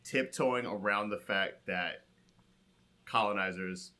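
A man's voice: lowish voice, slow pace, pushed and bright. A man in a casual, comic film discussion, slangy and sweary, acting out imagined reactions as he goes. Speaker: podcast co-host.

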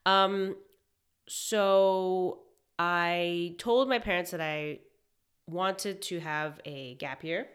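A young woman from Hong Kong, a chef, talking reflectively in a casual interview with many pauses and fillers. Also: on the low side, fairly bright tone. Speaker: guest, chef.

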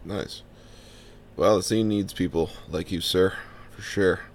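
A man from the Washington DC–Baltimore area hosting a podcast, with a bassy baritone voice, laid-back and chatting with short agreeing replies. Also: unhurried, slight background noise. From Washington DC–Baltimore area, USA. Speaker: podcast host. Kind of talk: casual podcast interview.

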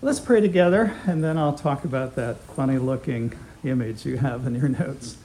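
A man's voice: mid-range voice, normal speed, slight background noise, poor audio. A middle-aged man teaching a Bible class, opening with a prayer and then lecturing in a conversational way, chuckling now and then. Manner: warm, conversational, chuckles often, with fillers.

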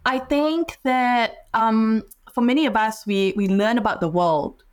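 A woman's voice: on the high side, unhurried, clear, resonant tone. A woman from Singapore, a clinical psychologist, speaking as a podcast guest in a reflective way, with frequent ums and small restarts.

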